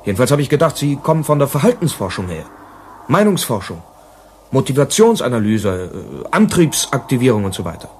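Actor as an animated, sceptical junior manager. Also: male voice, mid-range voice, fairly quick, a little hiss or hum, lightly acted. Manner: questioning, pressing. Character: impatient, sceptical junior industrial manager, male. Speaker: radio drama actor. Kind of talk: German sci-fi radio play acting.

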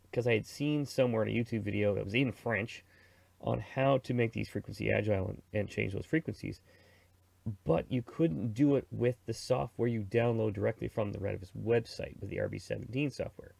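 Middle-aged man, slightly low voice, lots of creak, informal reviewer, rambling how-to chat. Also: darkish tone, no echo. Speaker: radio reviewer and presenter.

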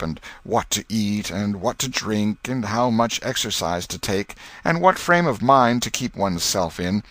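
A man narrating a classic novel as an audiobook, reading scripted literary prose with expressive, storytelling phrasing. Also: on the low side, dry recording.

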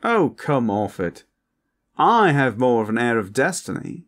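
A man's voice: medium pitch, big pitch swings, unhurried, fairly bright tone, pitched above the performer's own voice. A man voicing a posh, cheeky, lewd and vain vampire with a flamboyant, pompous manner in an audio roleplay. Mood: vain, indignant.

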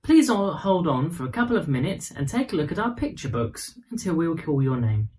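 A man's voice: a little high, sing-song, brisk, warm tone. A man voicing a polite, welcoming woman nurse in a storybook.

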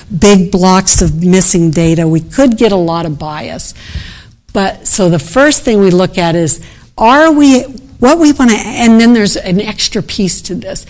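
A middle-aged woman delivers a conference keynote with a fairly low voice and an unhurried pace. She speaks off the cuff and talks her way through the slides. She often stops to restart a sentence. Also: a little mellow. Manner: unscripted, restarts sentences, thinks aloud over slides. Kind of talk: academic conference talk.

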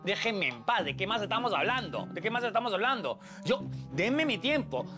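Lively joking man. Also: sits high, fairly quick, over music. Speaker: contestant.

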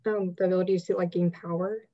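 A woman with a lowish, mellow voice answering tentatively in class. Her delivery is very flat, and the audio sounds dull and lo-fi. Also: fast, slight background noise. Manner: tentative, filler-heavy. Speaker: student. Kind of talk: class discussion.

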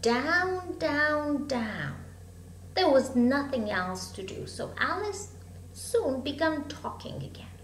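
High-voiced woman reading a storybook slowly. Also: sing-song. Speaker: storybook reader. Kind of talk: expressive children's story reading. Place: room at home with bookshelves. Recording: echoey room, hum and background noise.

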